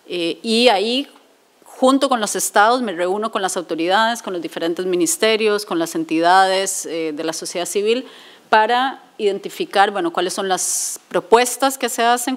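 A woman in her forties from Rica, giving a public lecture at a table in a conference hall. She speaks in a measured way, with frequent "eh" fillers and self-corrections. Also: medium pitch. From Costa Rica. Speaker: invited speaker. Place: conference hall with seated audience.

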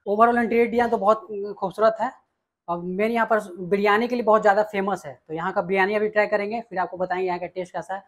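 A man's voice: high voice, fairly even intonation, quick pace. A young man from Bihar hosting a casual food vlog, talking straight to viewers as he tastes dishes and recommends them. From Bihar, India. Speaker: food vlogger. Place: small indoor restaurant dining room.

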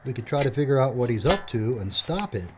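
A man's voice: mid-range voice, very animated, fairly quick, natural voice. An actor playing a practical, pedantic man in an audio drama, matter-of-fact and wry. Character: practical, pedantic man filmmaker, quick to correct. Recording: dull lo-fi audio, faint noise.

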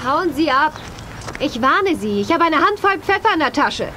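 A woman's voice: high voice, sing-song, normal speed, pushed and bright, exaggerated voice. A woman playing a wary, bitter widowed mother in a German audio drama, blunt and emphatic. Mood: angry, defensive. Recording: noisy cassette transfer.

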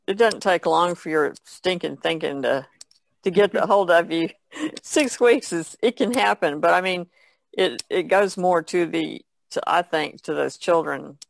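A woman talking on a podcast in a relaxed, folksy, thoughtful way. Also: sits low, gravelly. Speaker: podcast guest. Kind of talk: casual discussion.

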